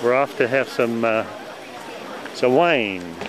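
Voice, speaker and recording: A man giving casual, halting travel commentary from behind a camcorder as a tourist. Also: mid-range voice, animated. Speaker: tourist filming a travel video. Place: on and around a tourist steam train. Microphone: camcorder microphone, speaker behind the camera. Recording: amateur camcorder amid loud crowd and train noise. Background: busy crowd, train.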